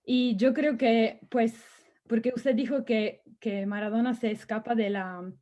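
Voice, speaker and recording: A woman's voice: on the high side, steady tone, measured pace, dark tone, dull audio. A woman from Italy, whose first language is Italian, asking a question in a Spanish seminar in a hesitant way and repeating words. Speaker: seminar participant.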